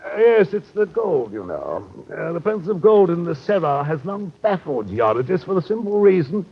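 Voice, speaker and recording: A blustering British captain in a radio mystery, flowery and genteel. His highish voice swings widely, over a muffled recording. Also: big pitch swings, normal speed, vocal fry, slightly rough, heavily acted. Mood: pompous storytelling. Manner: pompous, blustering, flowery phrasing. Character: pompous, long-winded British captain, genteel roguish adventurer. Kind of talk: old-time radio detective drama acting. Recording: muffled old radio recording, faint noise.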